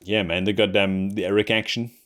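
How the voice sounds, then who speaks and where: A man giving casual, excitable game reaction commentary, slangy with light swearing. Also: fairly low pitch, fairly quick. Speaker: gaming reaction host. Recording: game music behind the voice. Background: game soundtrack music.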